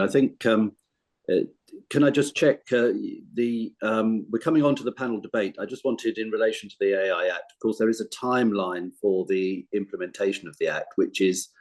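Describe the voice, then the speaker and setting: A man with a fairly low voice moderates an online panel. He is courteous and formal, feeling his way through his questions with hesitant phrasing. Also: darkish tone.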